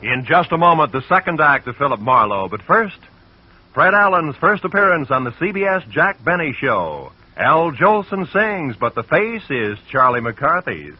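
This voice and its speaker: A man's voice: on the high side, very animated, moderate pace. A man delivering a polished, upbeat scripted radio announcement with emphatic delivery. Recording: dull old radio recording.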